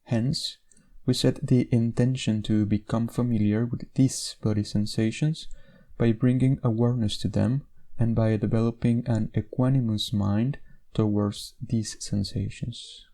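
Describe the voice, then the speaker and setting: A man guides a meditation in a lowish, relaxed voice. There is a noticeable creak in his tone. He gives each instruction in a measured way, leaving gentle pauses between phrases.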